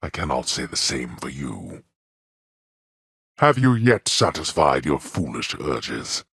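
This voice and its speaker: An actor playing a stern, contemptuous god-king of the underworld in a video game, haughty and commanding, with a deep, husky voice. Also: very animated, takes it slowly, fairly bright tone, no room sound, studio quality, heavily acted. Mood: scornful.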